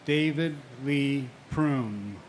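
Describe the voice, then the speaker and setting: A man's voice: mid-range voice, slight background noise. A man reads names at a memorial ceremony and speaks solemnly and firmly as a victim's brother. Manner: solemn and measured, then firm and insistent. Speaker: victim's family member reading names. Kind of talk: memorial ceremony name reading and speech.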